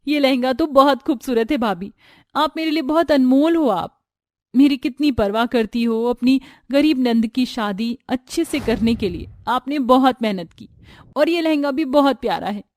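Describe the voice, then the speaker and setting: A woman plays a spirited young bride-to-be in a family drama. She sounds affectionate and sure of herself, in a high, dryly recorded voice. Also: normal speed, a little mellow, no room sound, studio quality, natural voice. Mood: grateful, delighted.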